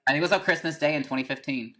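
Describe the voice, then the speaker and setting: A man's voice: a little high, racing, pushed and bright. Chatty guy in his thirties, podcast guest, rambling filler-heavy storytelling. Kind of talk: casual interview storytelling.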